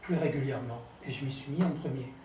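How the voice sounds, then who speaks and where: A man who runs a theatre, speaking in an interview in a halting way and often repeating words. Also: mid-range voice. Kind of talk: reflective interview talk. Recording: roomy distant mic, faint hum.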